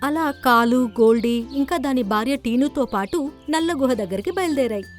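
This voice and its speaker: A woman's voice: high voice, expressive, a little fast. A woman narrator reading a scripted children's story. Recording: background music under the voice.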